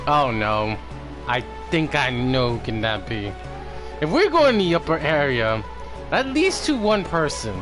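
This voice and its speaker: A man giving casual live gameplay commentary, reacting out loud and muttering asides. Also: mid-range voice, very animated, unhurried. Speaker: game streamer. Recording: game music behind the voice. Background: video game music and dialogue.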